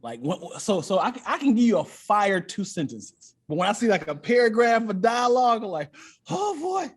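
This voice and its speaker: A man with a high voice chats casually as a comedian guest on a podcast. His pitch moves around in a lively way, and he swears freely while stumbling over repeated words as he builds a thought. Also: moderate pace, husky. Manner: animated, profane, stammering with repeated words.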